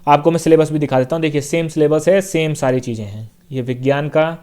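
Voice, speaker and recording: Young man, exam coaching teacher, casual and repetitive, fairly high voice. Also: quick pace, mellow, clear, resonant tone. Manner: casual, repeats points, fills with "theek hai" and "bhai". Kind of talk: explaining a job notification on screen.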